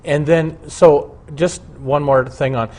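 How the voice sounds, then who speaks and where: A man, a pastor hosting a religious talk program, interviewing a guest with brisk questions and frequent short acknowledgments. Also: fairly high pitch, fairly even intonation, a little mellow. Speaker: pastor and host.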